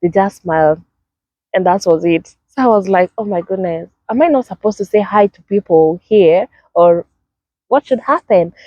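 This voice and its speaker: Animated Kenyan woman telling a story. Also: mid-range voice, moderate pace, dry recording. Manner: animated, acts out greetings in a lively sing-song way. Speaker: vlogger. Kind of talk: casual personal storytelling to camera. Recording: dry, slightly dull sound.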